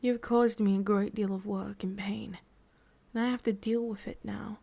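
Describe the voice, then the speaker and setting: A woman with an English accent playing a cruel, condescending female boss in an ASMR roleplay, murmuring with drawn-out pauses. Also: mid-range voice, fairly even intonation, gravelly, warm tone. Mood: mocking, cruel.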